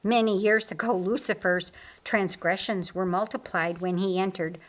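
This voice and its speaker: A woman's voice: medium pitch, fairly even intonation, moderate pace, lightly acted. A woman voicing an authoritative, loyal male archangel in an audiobook, explaining firmly and earnestly. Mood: grave, explanatory. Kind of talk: audiobook character acting.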